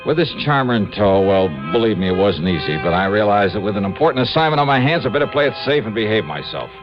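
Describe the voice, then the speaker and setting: An actor playing a smitten, wisecracking insurance investigator in a 1950s radio drama, wry and apt to stammer when flustered. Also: male voice, lowish voice, very animated, quick pace. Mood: wry narrating. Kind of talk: 1950s radio detective drama, first-person narration and dialogue. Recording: muffled old radio recording, music behind.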